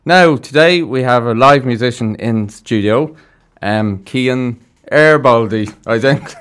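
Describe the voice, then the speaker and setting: A man's voice: mid-range voice, slow pace, a bit bright, clean tone. An Irish man presenting a radio show from a studio in a casual, chatty, informal and encouraging way.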